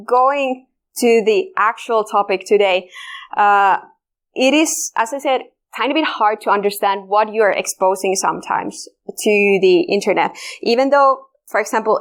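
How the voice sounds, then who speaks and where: A woman with a Finnish accent presents at a security conference. Her pitch sits in the middle range for a woman, and she talks in a loose, conversational way, pausing on fillers and restarting her sentences. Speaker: conference speaker. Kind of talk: technical conference talk. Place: conference room.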